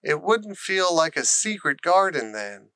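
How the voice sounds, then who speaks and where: A man's voice: fairly high pitch, very animated, measured pace, bright, forceful tone, clear, resonant tone, dry recording, exaggerated voice. A man voicing an earnest, curious young girl with a questioning manner in a storybook. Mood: confiding.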